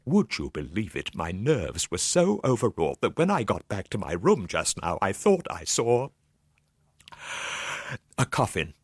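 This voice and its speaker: Actor doing a breathless, terrified doctor, husky and whispery. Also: male voice, on the low side, dry recording, exaggerated voice. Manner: gasping, whispery, melodramatic. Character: terrified doctor, breathless, nervy gentleman in a ghost story. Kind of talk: dramatic radio story reading.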